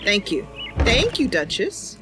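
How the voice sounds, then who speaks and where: A woman's voice: medium pitch, huge pitch swings, takes it slowly, over loud music. A woman giving casual, playful commentary as host of a gaming stream. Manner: giggly, playful. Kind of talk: casual live gameplay commentary.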